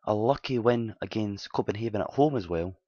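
Slightly hoarse man, low and dull. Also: fairly quick, warm tone, no room sound. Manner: rambling, self-correcting, recapping results. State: slightly hoarse, dry throat. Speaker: game commentator. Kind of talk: casual gameplay commentary. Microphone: desktop mic at home, quiet.

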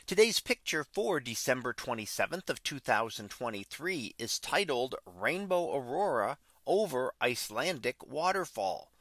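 A man talks through a picture as a podcast host, patient and plain in his explaining. His tone is bright and forceful, his pitch moves a lot, and the close mic sounds dry. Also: big pitch swings, moderate pace, few pauses, no echo. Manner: plain, patient explaining with occasional fillers and restarts. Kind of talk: unscripted explanatory commentary.

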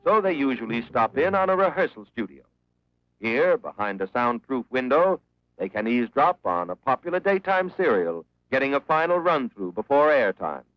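A man's voice: medium pitch, sing-song, moderate pace, no echo. A man with an American accent giving scripted narration in the style of a 1940s promotional film. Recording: dull vintage 1940s film soundtrack, music behind. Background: loud background music.

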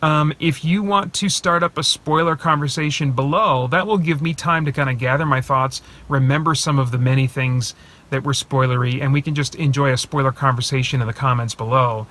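A man in his thirties talking casually to a phone camera from inside his parked car, thinking aloud with many pauses and restarts. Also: mid-range voice, a bit bright. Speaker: movie reviewer and channel host. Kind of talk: casual spoiler talk to camera. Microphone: phone camera at arm's length.

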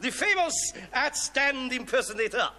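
A man's voice: very high-pitched, sing-song, big-room sound, background noise. A man playing a roguish French fur-trapper villain with a put-on French accent, in broad, theatrical stage comedy. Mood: sly, theatrical. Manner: theatrical, slow, drawn-out delivery. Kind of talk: broad comedy sketch acting before an audience.

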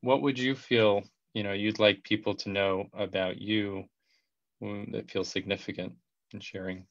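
A man in his thirties hosting a video call interview, warm and effusive, often repeating words as he talks, speaking through a headset. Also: lowish voice, fairly even intonation, a little slow, no echo. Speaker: host. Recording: dull, dry video call audio.

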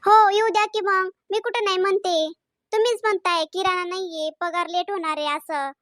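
Woman voicing a bright, high-pitched, scolding young cartoon housewife. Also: fairly even intonation, moderate pace, dry recording, clean studio sound. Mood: defensive. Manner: chatty, insistent, scolding. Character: young married housewife, quick to take offense. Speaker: wife. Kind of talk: comedy cartoon voice acting.

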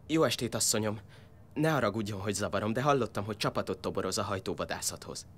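A young warrior hopeful in an audio drama. His low voice is earnest and respectful, but insistent. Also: animated, fairly bright tone, a little hiss or hum. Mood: polite, nervous.

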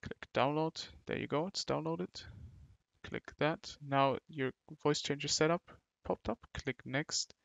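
A man presents a software walkthrough with a gravelly edge to his voice. He gives instructions in short, halting phrases, recorded close and dry as a voice-over. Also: on the high side, steady tone, no room sound, highs cut off. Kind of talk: step-by-step tutorial narration. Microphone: close mic, screen-recording voice-over.

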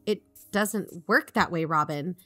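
A woman's voice: fairly low pitch, measured pace, pushed and bright, no room sound, soft background music. A woman playing an assured podcast investigator in a conversational audio drama, reasoning and probing persuasively. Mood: firm, challenging. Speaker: fictional podcast co-host.

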